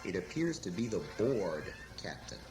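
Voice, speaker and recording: Man playing a deep, solemn starship officer. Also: sing-song, moderate pace. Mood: mock solemn. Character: solemn starship science officer explaining an alien threat. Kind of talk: hobby sci-fi parody sketch. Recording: echoey, music underneath.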